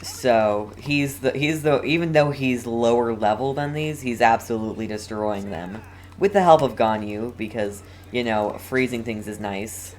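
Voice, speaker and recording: Slow-paced, halting game streamer. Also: mid-range voice, a little mellow. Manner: halting, often repeats words while thinking. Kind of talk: casual live gameplay commentary with chat.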